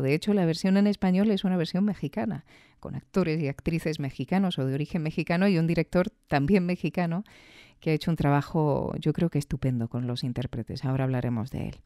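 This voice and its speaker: Mellow-voiced Spanish woman hosting a podcast. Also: low-pitched, dry recording, studio quality. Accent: Spanish from Spain. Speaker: podcast host and reviewer. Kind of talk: reflective solo commentary on audio fiction.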